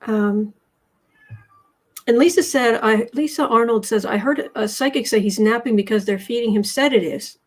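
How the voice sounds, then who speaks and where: An American woman hosting a casual tarot livestream, chatting haltingly as she comments and reads out viewer messages, often repeating her words. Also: fairly high pitch. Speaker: host of a live tarot and astrology show.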